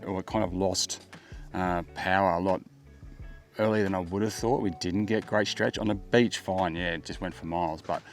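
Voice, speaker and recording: A middle-aged Australian man with a low-pitched voice talks through a gear review as a vlogger. His phrasing is casual and laid-back, as if chatting to the viewer, with music underneath. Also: fairly even intonation, normal speed. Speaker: tech vlogger, product reviewer. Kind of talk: casual product review to camera.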